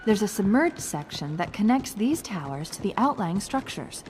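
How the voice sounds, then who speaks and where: A woman's voice: mid-range voice, very animated, normal speed, lightly acted. An actress playing a witty female AI companion who gives briefings with dry, wry quips. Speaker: AI advisor. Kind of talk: game cutscene acting. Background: loud orchestral music.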